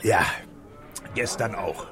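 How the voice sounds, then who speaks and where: An actor playing a taciturn, gruff middle-aged police inspector in a German radio play, speaking tersely. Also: male voice, fairly low pitch, slow pace, a bit bright, soft background music, some chatter behind. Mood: laconic.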